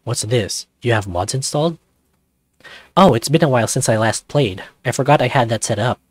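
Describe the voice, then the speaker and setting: A man's voice: medium pitch, expressive, moderate pace, no echo, lightly acted. A man voicing a playful, laid-back young male dragon, the roommate in a visual novel, talking casually and cheekily.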